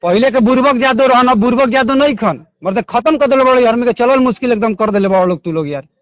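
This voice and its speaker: A man's voice: very high voice, fast, fairly bright tone, no echo. A man with a Bhojpuri accent speaking as a caller in a confrontational phone call, in an aggrieved, repetitive, coarse way.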